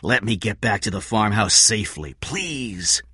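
A weary, hardened criminal speaking low and quiet, defiant under the surface, as a reader plays him. Warm and bright in tone, the recording dry and close. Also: male voice, very animated, a little slow, slightly rough, dry recording, heavily acted. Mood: anxious.

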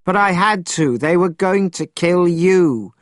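A man voicing a brash, proud, hot-tempered monkey king for a storybook, with an emphatic, cocky, drawn-out delivery. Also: a little high, a bit bright, no echo, studio quality, pitched above the performer's own voice. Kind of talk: storybook character voice.

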